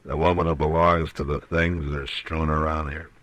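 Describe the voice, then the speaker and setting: An actor playing a grave, steady Old West lawman in an old radio western, speaking in measured, terse phrases with hesitant pauses. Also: slight background noise. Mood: grim, steady. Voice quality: very deep voice. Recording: old radio drama recording.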